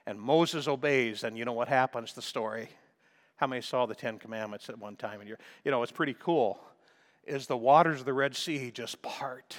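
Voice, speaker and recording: A man preaching a sermon with emphatic delivery, often clearing his throat, his voice a little crackly. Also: medium pitch, normal speed. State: slightly crackly voice. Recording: long reverb of a large hall.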